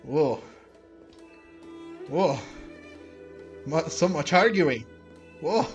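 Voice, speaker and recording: A man giving casual, halting game commentary and reactions, often with a chuckle. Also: a little high, expressive, dull audio, faint music behind. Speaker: gameplay streamer.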